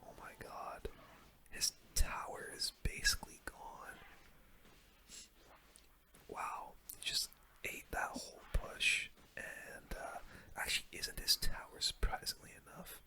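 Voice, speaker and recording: A man whispering casual, slangy gaming commentary in a breathy ASMR style, close to the microphone. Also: slow pace, pauses often, sharp tone. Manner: casual, slangy gamer talk. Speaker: gaming video host.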